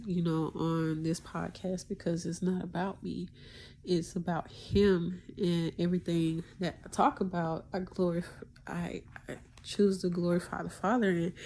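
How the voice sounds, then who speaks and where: A woman hosting her own podcast, talking in a hesitant, preachy way about faith, with many pauses and restarts and a deep voice. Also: mellow, a little hiss or hum. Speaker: podcast host. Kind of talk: casual spiritual monologue.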